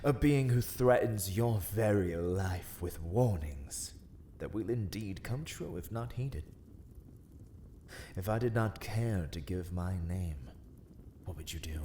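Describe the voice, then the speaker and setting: A man playing a haughty, menacing vampire lord in a dark ASMR roleplay, speaking in formal, archaic, deliberate phrases. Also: sits low, expressive, a little hiss or hum. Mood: ominous, threatening.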